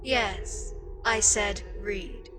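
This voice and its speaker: A woman voicing an ancient, weary eldritch deity in an intimate ASMR roleplay, speaking deliberately with pauses and breathy, whispery touches. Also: medium pitch, music in the background. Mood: intrigued, knowing. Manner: slow, deliberate, pausing, drifting into breathy whispers. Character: ancient eldritch deity, female, weary, frustrated, wistfully amused by mortals. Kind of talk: ASMR audio roleplay, intimate scripted monologue.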